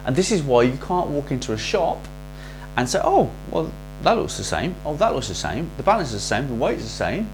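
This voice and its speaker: A man hosting a casual product review in a chatty, salesy way with quick asides, his voice a little worn from recording many videos. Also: medium pitch, sing-song, low-quality recording. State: losing his voice. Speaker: racket reviewer and shop host. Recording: faint mains hum.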